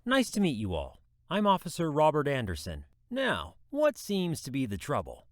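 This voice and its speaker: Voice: mid-range voice, huge pitch swings, moderate pace, dry recording. A voice actor playing a friendly, eager, dim-witted male police officer in a horror audio drama. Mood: friendly, helpful. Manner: eager, folksy, bumbling.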